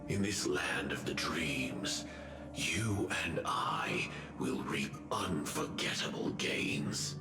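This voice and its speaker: An actor playing a grave, enigmatic male agent in a video game, with echo and music behind him. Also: very deep voice. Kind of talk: scripted video game voice acting. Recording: echoing voice under loud music. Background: loud game music.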